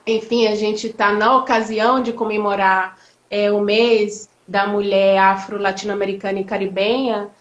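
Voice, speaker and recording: A woman's voice: medium pitch, level tone, moderate pace. Woman on an echoey live call. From Bahia, Brazil. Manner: reflective, many fillers and restarts. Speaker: law professor, guest speaker. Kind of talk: live online interview. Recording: echoey, dull lo-fi audio.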